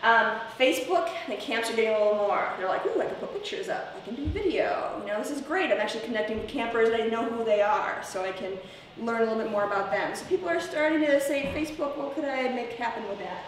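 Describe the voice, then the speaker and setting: A woman's voice: on the high side, lively intonation, fast talker, few pauses. A woman giving an informal conference presentation in a conversational way, checking in with her listeners through short tag questions. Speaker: presenter at a camp leadership workshop. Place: indoor room, presenting to a live group. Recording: echoey room from far off, faint noise.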